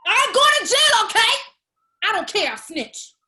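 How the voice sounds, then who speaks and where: A woman's voice: very high voice, very animated, normal speed, sharp tone, full character voice. A woman playing an anxious, broke and dramatic young woman with a sarcastic, slangy manner in a comedy table read. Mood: melodramatic.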